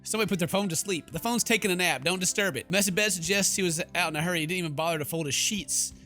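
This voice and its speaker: A man's voice: high voice, racing, sharp tone, faint music behind. A man giving casual, sarcastic, joking commentary as he plays a game. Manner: playful, sarcastic, exclamatory. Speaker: gaming YouTuber, let's player.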